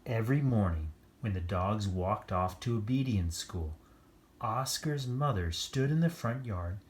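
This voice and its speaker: Children's read-aloud host: unhurried, playful delivery, drifting into chatty asides about the pictures. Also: medium pitch, animated. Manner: playful, lingering over pictures with exclamations. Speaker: children's story-time reader.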